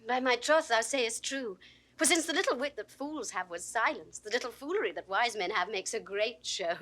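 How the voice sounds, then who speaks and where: A witty young duke's daughter in a Shakespeare audio drama. She has a high voice that leaps around expressively, playful, affectionate and precisely theatrical. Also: expressive, normal speed, a bit bright. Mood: teasing.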